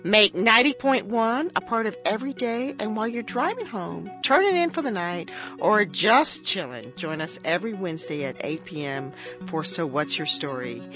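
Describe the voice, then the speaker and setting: A woman's voice: medium pitch, animated, moderate pace, a bit bright, soft background music. A woman in her fifties, from the border region, hosting a casual radio interview in an enthusiastic, chatty way. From US–Mexico border region. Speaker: radio show host.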